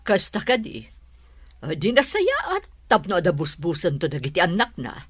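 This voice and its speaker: An actress with a Filipino accent playing a meddling, easily shocked middle-aged mother with a husky voice in a radio drama. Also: on the low side, huge pitch swings, normal speed, no room sound, exaggerated voice. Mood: urging.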